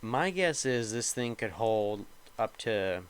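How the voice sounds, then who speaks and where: A young man talks over his gameplay, rambling and thinking aloud with plenty of hesitation. His voice sits a little low and sounds slightly nasal. A faint background hum runs under the recording. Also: big pitch swings, slow pace. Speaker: gaming video creator. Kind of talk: casual gameplay commentary.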